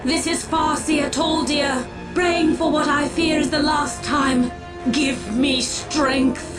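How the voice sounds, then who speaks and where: An actress playing a solemn, commanding alien war seer in a video game, speaking gravely and formally with theatrical weight. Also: female voice, high voice, steady tone, takes it slowly, music in the background. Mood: rallying, solemn. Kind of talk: dramatic video game voice acting.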